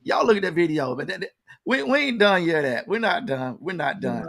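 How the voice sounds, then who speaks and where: An American man with a slightly high voice works as an interview host. He talks casually and in a folksy manner, circling back and repeating phrases as he goes. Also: lively intonation, fairly quick, creaky, a bit bright.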